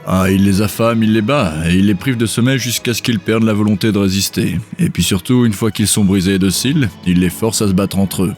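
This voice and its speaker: A cynical male scout acted by a man in an audio drama. He speaks in a lowish voice at a quick clip, offhand and matter-of-fact, gruff in temper. Soft music plays under the lines. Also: fairly even intonation, close to an everyday voice. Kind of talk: audio drama character dialogue.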